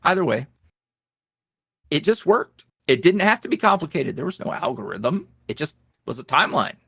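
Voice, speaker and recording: Guy guest on a podcast, super lively pitch, rambly casual storytelling, dry sound. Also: normal speed, gravelly, breathy edge, no room sound. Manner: rambling, animated storytelling with frequent laughs. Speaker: ASMR roleplay creator, podcast guest. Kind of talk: casual interview chat.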